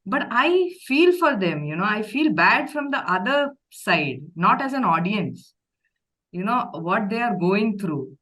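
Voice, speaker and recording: A woman's voice: medium pitch, sing-song, a little slow, fairly bright tone, rough recording. A woman hosting an interview, asking long questions hesitantly with many fillers while thinking aloud. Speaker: interviewer host.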